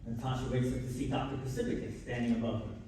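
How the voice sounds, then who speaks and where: A male narrator reads scripted scene directions for a live radio play in a mellow, medium-pitched voice. He sounds distant, in a very echoey hall with faint noise. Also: level tone, fast talker. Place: live stage at a convention.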